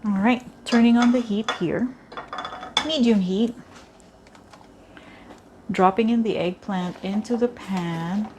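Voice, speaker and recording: Slow-talking woman cooking in a noisy kitchen. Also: medium pitch, darkish tone. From Philippines. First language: Tagalog. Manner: chatty, thinking aloud with many fillers. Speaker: cooking vlogger. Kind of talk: casual cooking demonstration. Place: home kitchen. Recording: clear kitchen noise behind. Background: clatter of pots and cutlery.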